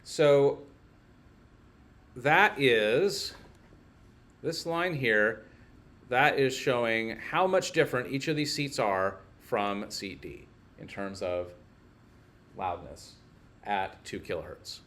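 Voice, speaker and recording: A man's voice: mid-range voice, slow, pauses often, fairly bright tone. A man teaching a casual hands-on technical lesson, informally thinking aloud as he works through each step.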